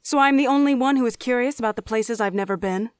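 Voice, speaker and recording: Woman acting an eager young druid, high voice. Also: fast, clean tone, dry recording, clean studio sound, natural voice. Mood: defensive. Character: young druid woman, amicable, curious and romantically oblivious. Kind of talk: fantasy audio drama acting.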